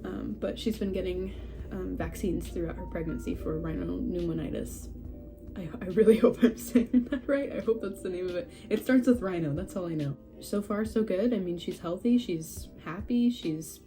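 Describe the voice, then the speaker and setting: Stuffy-voiced young woman, mellow tone. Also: fairly low pitch, normal speed, soft background music. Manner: rambling, chatty, self-deprecating, laughs often. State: stuffy and a little scratchy from a cold. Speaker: horse breeder vlogger. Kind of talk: casual update vlog. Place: at home among houseplants.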